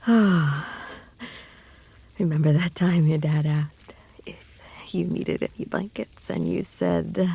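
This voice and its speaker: A woman playing a shy, affectionate lamia, soft and hesitant, often near a whisper, in an intimate roleplay audio drama. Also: fairly low pitch, steady tone, slow, long pauses, no echo, full character voice. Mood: cozy, nostalgic. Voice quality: breathy, often dropping to a whisper. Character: shy, cold lamia snake-woman, affectionate childhood friend.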